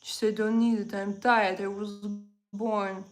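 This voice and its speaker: A woman from Russia with a Russian accent, chatting casually as a livestream host and answering viewers in halting English with repeated phrases. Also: mid-range voice, level tone.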